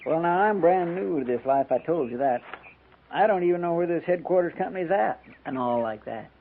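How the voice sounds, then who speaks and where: An actor playing a hapless, bemused army recruit who is no youngster, rustic and hesitant, in a 1950s radio western. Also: male voice, fairly high pitch, animated, brisk, vocal fry. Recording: tinny, noisy 1950s radio recording.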